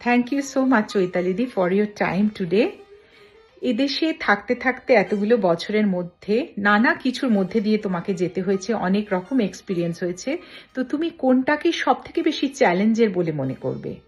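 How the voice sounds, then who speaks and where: A woman interviewer with a Bengali accent and a medium-pitched voice. She introduces and questions her guest as host, with music playing faintly behind her. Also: expressive, moderate pace.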